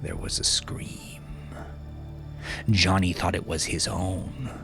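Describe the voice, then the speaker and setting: A man reading scripted fiction in a dramatic, measured way, dropping into hushed, breathy phrase endings. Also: low-pitched, fairly even intonation, in a whisper, over music. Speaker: audiobook narrator.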